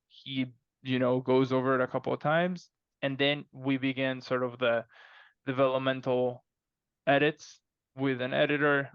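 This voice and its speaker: A man speaking as a guest author in a casual podcast interview, telling stories in an animated way and acting out exchanges. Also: mid-range voice, gravelly, clear, resonant tone, no echo, highs cut off.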